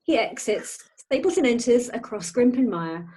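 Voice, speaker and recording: A woman's voice: fairly high pitch, lively intonation, moderate pace, mellow, husky. A woman narrating a play script, reading out scenes and stage directions.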